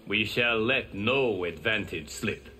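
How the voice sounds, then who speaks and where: Bright-voiced noble lord. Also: male voice, fairly low pitch, lively intonation, slow, exaggerated voice. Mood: resolute. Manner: formal, assured. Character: calm, noble male lord and mentor god. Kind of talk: video game voice acting.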